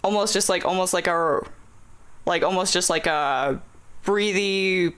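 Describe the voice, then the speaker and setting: A man's voice: high-pitched, a little slow, a bit bright, clean tone. Male podcast guest, rambling casual chat, lots of filler, laughs often. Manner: rambling, full of "like", frequent laughs. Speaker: podcast guest, music fan.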